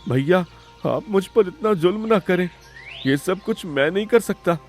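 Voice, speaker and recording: Cartoon moral tale: male actor as timid young brother, plaintive and pampered, raised pitch, swooping intonation. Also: expressive, measured pace, faint music behind. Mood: pleading. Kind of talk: Hindi animated moral story acting.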